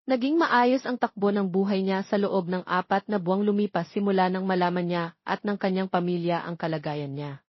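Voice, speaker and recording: A woman's voice: medium pitch, a little fast, no room sound, lo-fi sound. A woman reading a scripted romance story as its narrator, close to the microphone.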